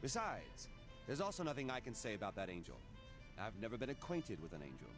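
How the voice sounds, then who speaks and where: A man's voice: fairly low pitch, big pitch swings, brisk. A man voicing a proud, righteous demon lord in an anime-style video game, solemn and grandiose, over music. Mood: firm, guarded. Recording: loud game music underneath.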